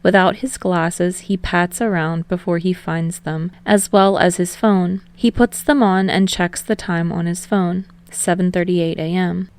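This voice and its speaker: Woman reading a story, lowish voice, lots of fry, audiobook style narration. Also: steady tone, measured pace, few pauses, no room sound. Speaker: podfic narrator. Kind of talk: scripted fiction narration.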